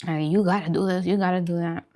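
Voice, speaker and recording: A woman's voice: on the low side, steady tone, quick pace, warm tone. A woman vlogger chatting casually while eating, talking in an informal, musing, conversational way. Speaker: vegan food vlogger.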